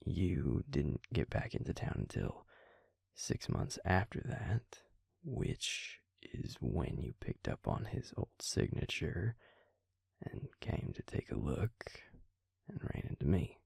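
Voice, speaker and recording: A very husky, low-voiced vampire with a Southern drawl, acted by a man, murmurs close and dry in an intimate audio roleplay. He is gentle and protective, with a teasing charm, and takes his time over every phrase. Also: huge pitch swings, dry recording, exaggerated voice. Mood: careful, slow. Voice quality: breathy, gravelly. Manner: unhurried, soothing, half-whispered. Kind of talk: intimate scripted audio roleplay, addressing the listener.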